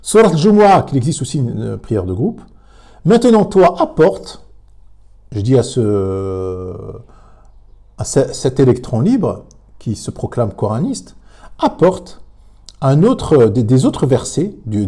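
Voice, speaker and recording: A man commenting on a religious debate, emphatic but halting, with many repeated words and fillers. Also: mid-range voice, mellow, breathy edge. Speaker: commentator reacting to a clip.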